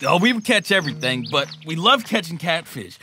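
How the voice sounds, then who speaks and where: An actor playing a loyal, earnest and courteous young soldier in an audio drama. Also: male voice, fairly high pitch, very animated, fairly quick, background noise. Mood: friendly.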